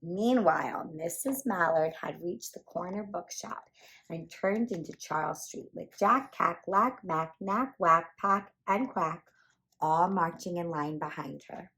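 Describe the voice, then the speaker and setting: A woman hosting a children's storytime, reading a picture book aloud with animated, playful emphasis. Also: fairly low pitch, a little slow, low-quality recording. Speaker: children's storytime reader and host.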